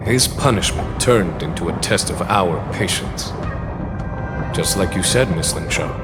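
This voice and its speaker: A man voicing a stern, weary male military commander in a video game cutscene, speaking in a measured, grave and formal way. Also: deep voice. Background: game soundtrack music.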